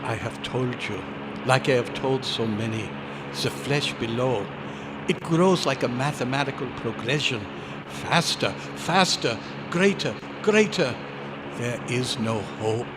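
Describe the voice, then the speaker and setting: A man playing an agitated, despairing scientist in a radio horror drama, speaking emphatically and haltingly, repeating words for weight. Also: mid-range voice, expressive, background noise, natural voice. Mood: resigned, hopeless. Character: despairing, agitated male scientist warning of doom. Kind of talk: old-time radio horror drama acting. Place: hotel convention meeting room, live performance. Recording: live hall, long reverb.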